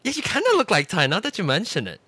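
A man hosts a casual podcast in a chatty, conversational way. His pitch moves around a lot as he talks, and he often doubles back to repeat a phrase. The sound is dry, with little room echo. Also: huge pitch swings, fast, bright, forceful tone, husky. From Asia. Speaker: podcast host.

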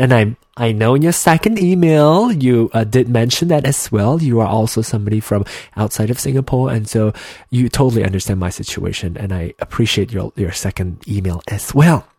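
A man's voice: medium pitch, darkish tone, no echo, studio quality. Earnest Malaysian man talking on his podcast. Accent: Malaysian English mixed with American. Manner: earnest, hesitant, many restarts and fillers. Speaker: podcast host. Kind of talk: solo podcast monologue. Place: home room with a heater running.

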